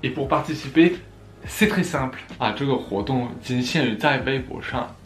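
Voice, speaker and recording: Playful young French vlogger, echoey room. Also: male voice, mid-range voice, lively intonation, normal speed, slight background noise. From France. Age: young adult. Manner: playful, jokey, laughs at himself. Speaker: vlogger answering viewer questions. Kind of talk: casual Q&A vlog, switching between French and Chinese. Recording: echoey room with soft background music.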